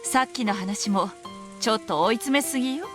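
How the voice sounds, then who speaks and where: Actress as rapid, composed middle-aged woman. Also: fairly high pitch, natural voice. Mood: composed, admonishing. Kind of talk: Japanese visual novel voice acting. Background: music and rain.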